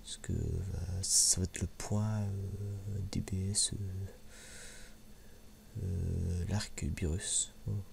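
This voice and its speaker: A man with a very low, gravelly voice speaks softly, almost whispering at times, in a casual ASMR manner. He is hesitant, trailing through repeats and pauses. The sound is a little muffled, with some background noise. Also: flat delivery. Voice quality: soft, hushed voice, sometimes near whisper. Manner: hesitant, halting, frequent repeats and filler pauses. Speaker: ASMR video creator and host. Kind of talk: soft-spoken ASMR, casual unscripted review. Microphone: microphone held a little away from mouth.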